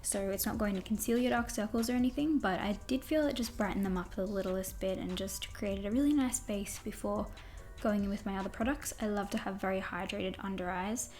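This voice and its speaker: A young woman with a husky voice talks through a makeup tutorial in a breezy, rambling way, poking fun at herself. Her voice sits at an ordinary middle pitch. The small room around her adds a roomy echo, with faint music running underneath. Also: a little fast, a little mellow. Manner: bubbly, rambling, self-mocking with laughs. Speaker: beauty vlogger. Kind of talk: casual chatty makeup tutorial.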